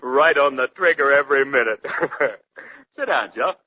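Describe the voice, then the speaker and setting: A man's voice: medium pitch, lively intonation, unhurried. An actor playing a jovial, boisterous trucking boss who likes a drink, talking slangily in 1940s radio style and laughing at his own jokes. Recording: muffled, noisy 1940s radio recording.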